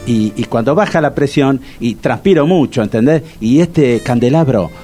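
A man's voice: medium pitch, animated, normal speed, dark tone, faint music behind, natural voice. An actor playing a naive, rambling man sweating in his heavy overcoat, with an Argentine Rioplatense accent.

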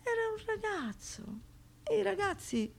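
A woman's voice: extremely high pitch, big pitch swings, measured pace, vocal fry, voice pushed up, exaggerated voice. A woman voicing an indignant, dismissive neighbourhood local in acted quotes for a radio play. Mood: indignant excusing.

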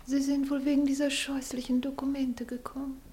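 An actress in a radio play playing a mysterious, anguished lady, hushed, solemn and melodramatic. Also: high-pitched, flat delivery, a little fast, close to an everyday voice. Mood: hushed, tormented. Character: mysterious, anguished lady awaiting a dreaded fate. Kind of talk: German radio play acting. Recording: faintly noisy radio play recording.

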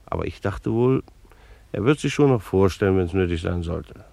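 A retired bailiff of sixty-five in a radio play. His voice is low and gravelly, and he talks in formal, old-fashioned official phrases. He is a dutiful, fussy beekeeper who reflects on old wounds. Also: animated, normal speed, mellow, dry recording. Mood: thoughtful. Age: 60s. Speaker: actor narrating in character. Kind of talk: German radio play monologue and dialogue.

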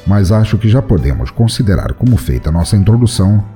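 A man hosting an audio drama podcast and narrating a horror story in an expressive, dramatic reading style. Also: fairly low pitch, quick pace, faint music behind.

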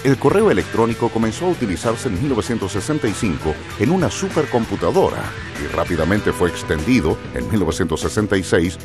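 A man's voice: fairly low pitch, sing-song, quick pace, hardly any pauses. A man reading scripted educational narration for radio. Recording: music bed under narration.

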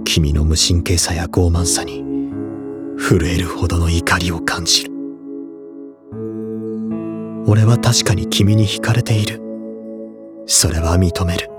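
An actor playing a tormented young man in an audio drama, grave and measured as he confesses a guilty love. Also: sits low, steady tone, loud music behind the voice. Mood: anguished, accusing.

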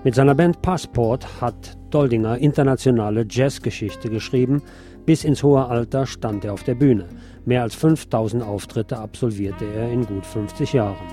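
A man narrating a scripted obituary tribute as a radio host, in a measured, formal reading manner. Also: medium pitch, mellow, faint music behind.

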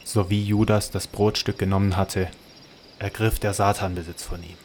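Low, flat-voiced male narrator. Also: slight background noise. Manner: measured, even. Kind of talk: scripted narration.

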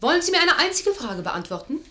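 An actress playing a high-spirited, headstrong young noblewoman in love, in classical radio drama style, exuberant and teasing. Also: sing-song, quick pace, bright, forceful tone, heavily acted. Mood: insistent, playful. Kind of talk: classical stage-play radio acting.

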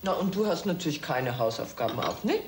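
A knowing mother in a radio play, middle-aged and watchful. Her delivery is lively and varied, in a roomy, slightly noisy space. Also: low voice, fairly quick. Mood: skeptical. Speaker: actor in a German audio drama. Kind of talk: German audio drama acting. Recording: roomy sound with faint noise.

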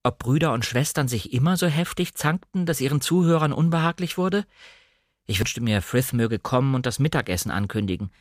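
A man narrating a literary audiobook in a measured, expressive way. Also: medium pitch, dry recording, clean studio sound.

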